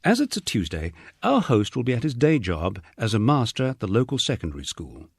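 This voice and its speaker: A man's voice: medium pitch, very animated, brisk, creaky, slightly rough, dry recording. A British man narrating a humorous memoir in a wry, dry storytelling manner. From United Kingdom. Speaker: audiobook narrator.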